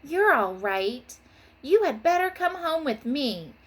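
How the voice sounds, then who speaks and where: A woman reading a storybook aloud as an excitable young schoolgirl who is devoted to her pet. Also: sits high, slow, voice pushed up, exaggerated voice. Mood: pleading.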